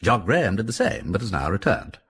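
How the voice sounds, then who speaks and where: An upper-class English gentleman detective for radio drama. His voice is husky and very animated in pitch. He is urbane and inquisitive, thinking out loud with clipped, polished phrasing. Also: fairly quick, heavily acted. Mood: wry musing. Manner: urbane, musing aloud, clipped aristocratic phrasing. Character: suave aristocratic English gentleman sleuth, inquisitive and quick-witted.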